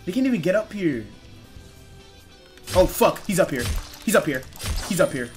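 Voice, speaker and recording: A young man giving casual gameplay commentary with friends, excitable and foul-mouthed, slangy and often repeating himself. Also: high voice, normal speed, faint music behind. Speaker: gaming YouTuber.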